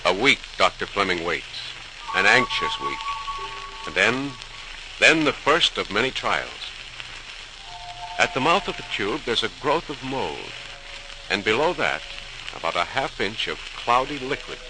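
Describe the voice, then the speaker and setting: A man's voice: on the low side, very animated, unhurried, long pauses. A man narrating a 1940s radio drama as a solemn, suspenseful storyteller, theatrical and emphatic, on a crackling old recording. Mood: expository, building. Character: solemn, suspenseful male storyteller of scientific discovery.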